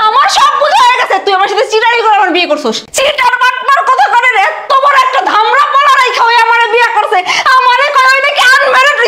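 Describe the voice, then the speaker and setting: A woman playing a furious young bride who shouts insults and demands a divorce. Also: extremely high pitch, reverberant, full character voice. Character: furious young bride, sharp-tongued and scolding. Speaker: newly married bride. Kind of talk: comic domestic drama acting.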